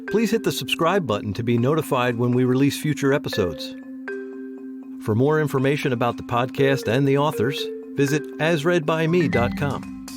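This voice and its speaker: A man with a medium-pitched voice reads a podcast outro as host. Marimba music plays clearly behind him. The delivery is scripted announcing addressed to listeners.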